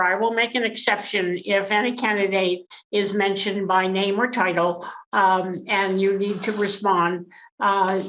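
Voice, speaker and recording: A woman runs a candidates forum and lays out the rules and timing. She talks haltingly, with fillers and restarts. Her voice rings in a roomy space over a faint hiss. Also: medium pitch, fairly bright tone. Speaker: forum moderator. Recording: echoey room with faint noise.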